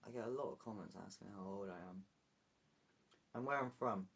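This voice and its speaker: A man's voice: on the low side, brisk, a bit bright, slightly rough. A British man streaming live, chatting casually and rambling as he answers viewer comments. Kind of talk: casual livestream chat.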